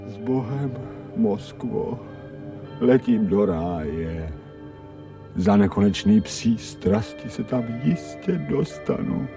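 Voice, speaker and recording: An actor voicing a streetwise stray dog musing to himself in slangy, wry, awestruck radio drama monologue, with a gravelly voice. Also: male voice, fairly low pitch, lively intonation, over music. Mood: dreamy bliss. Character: streetwise stray male dog narrating his thoughts, wry, awed.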